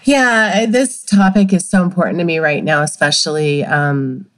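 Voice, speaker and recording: A woman's voice: fairly low pitch, fairly even intonation, moderate pace. A woman co-hosting a self-help podcast, a wellness coach who sounds congested and talks in an earnest, emotional way. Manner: emotional, earnest, laughs easily. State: congested from illness. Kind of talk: casual podcast chat.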